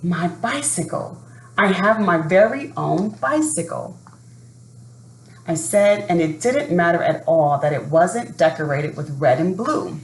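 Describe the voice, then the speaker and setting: A low-voiced woman speaks slowly and deliberately, as if guiding young listeners through a storybook session. Her delivery is expressive, and the room around her sounds echoey. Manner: deliberate, expressive, addresses children with questions. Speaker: educator reading a children's book aloud. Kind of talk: read-aloud storytelling and talk to families. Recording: echoey room with faint background noise.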